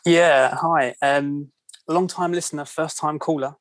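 A man's voice: on the high side, steady tone, measured pace, dry recording. London man, casual guest, rambling friendly talk, dry sound. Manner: chatty, self-deprecating, many ums. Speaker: podcast guest, author. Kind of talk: casual interview chat.

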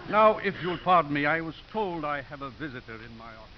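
An actor playing a gentle, droll elderly priest with a genteel manner in a 1950s radio drama. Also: male voice, fairly high pitch, expressive, fast, natural voice. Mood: dry. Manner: genteel, dryly humorous. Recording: muffled, hissy 1950s radio recording.

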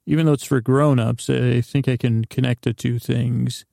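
A man hosting a bedtime podcast, rambling in a halting, meandering, gently silly way with a gravelly, creaky voice. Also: mid-range voice, steady tone, no echo, clean studio sound. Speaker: podcast host.

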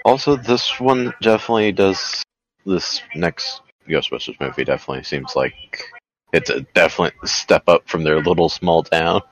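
A man's voice: low-pitched, expressive, moderate pace, dull audio. A man co-hosting a podcast, bantering casually about entertainment. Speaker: podcast co-host.

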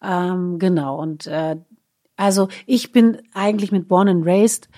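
A woman's voice: fairly low pitch, unhurried, mellow, no echo. A middle-aged woman being interviewed in a living room, talking thoughtfully with many fillers and restarts. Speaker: guest singer. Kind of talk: casual interview chat.

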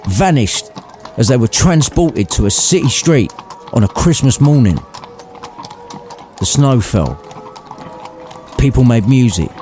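A man's voice: medium pitch, dark tone. A man reading an irreverent storybook narration, cheeky and conversational. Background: background music.

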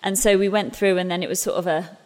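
A woman's voice: lowish voice, fairly even intonation, quick pace, a little mellow, in a large hall. A woman in her thirties, an English stage actress, talking chattily about her career in an interview, often restarting and laughing at herself. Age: 30s. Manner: chatty, self-deprecating, frequent restarts and laughs. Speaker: musical theatre actress. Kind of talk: interview conversation.

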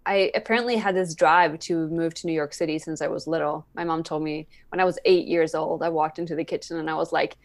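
A woman's voice: sits low, steady tone, a little fast, hardly any pauses. A woman from Sweden talking casually and anecdotally as a guest on a podcast. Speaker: podcast guest, climate activist and speaker. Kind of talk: casual, anecdotal interview talk.

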